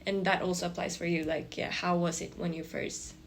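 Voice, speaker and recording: A young woman with a fairly low voice and noticeable vocal fry hosts a casual interview. She puts questions in a conversational way, with faint noise behind her. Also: little pitch movement, brisk. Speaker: podcast host. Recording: faint background noise, room ambience.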